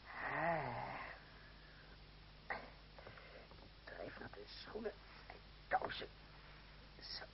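A man's voice: on the high side, big pitch swings, slow, creaky, in a whisper, exaggerated voice. An actor playing a witty, mocking young man in a vintage radio play, speaking with sardonic theatrical irony. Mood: wry self-reproach. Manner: sardonic, theatrical, talking to himself and others. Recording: muffled, hissy old radio drama recording.

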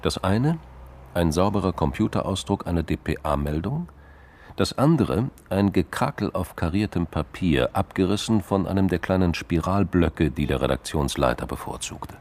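A man with a gravelly voice narrating a scripted crime drama. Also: low-pitched, expressive, moderate pace, darkish tone, slight background noise.

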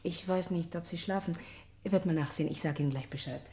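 A woman's voice: fairly low pitch, fast, warm tone, a little hiss or hum, lightly acted. A woman playing a concerned, obliging wife in a radio crime drama, matter-of-fact and caring. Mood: earnest.

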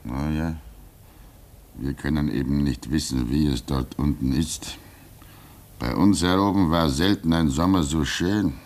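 An actor playing a calm, fatherly older man at a polar station, speaking gently and cautiously. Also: extremely low pitch, unhurried. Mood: soothing. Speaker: radio drama actor.

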